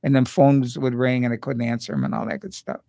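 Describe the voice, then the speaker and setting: A man's voice: mid-range voice, sing-song, a little fast, creaky, mellow, dry recording, studio quality. An older man hosting a radio talk show, chatting in a folksy, joking way. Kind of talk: casual radio interview. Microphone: studio microphone.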